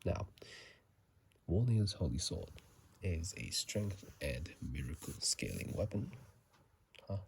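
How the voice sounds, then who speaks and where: A man speaking in a soft ASMR whisper and murmur, casual and halting, recorded on a phone microphone. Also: low voice, expressive, creaky. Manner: halting, casual, self-correcting. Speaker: channel host. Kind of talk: whispered ASMR game commentary. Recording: faint noise, phone microphone.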